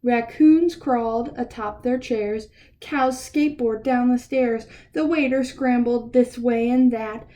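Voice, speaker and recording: A woman reading a picture book aloud to children in an expressive way, pausing to ask her listeners questions. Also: a little high. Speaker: read-aloud storyteller for children.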